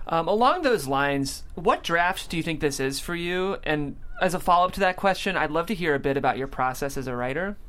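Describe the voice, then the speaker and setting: A man's voice: a little high, expressive, brisk, few pauses, a bit bright. A man hosting a casual interview, talking in an encouraging, validating way. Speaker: host interviewing a screenwriter.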